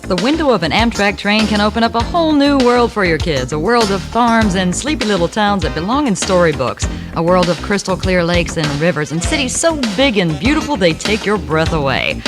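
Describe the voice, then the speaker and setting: A woman narrates a scripted advertisement in a mid-pitched, very expressive voice. Her phrasing is like storytelling, with music clearly playing underneath. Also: brisk, hardly any pauses. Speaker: commercial voice-over announcer.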